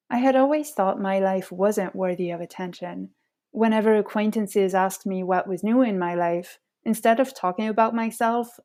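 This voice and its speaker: A woman in her thirties from France, a native French speaker with a French accent, hosting a podcast with measured, scripted personal narration. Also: medium pitch, mellow. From France, Paris suburbs. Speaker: podcast host.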